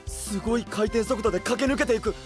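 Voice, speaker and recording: A man's voice: high voice, a little fast, music in the background, close to an everyday voice. A man voicing a cheerful, adventurous young male knight with an enthusiastic, easygoing boyish manner in an anime-style voice drama. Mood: excited.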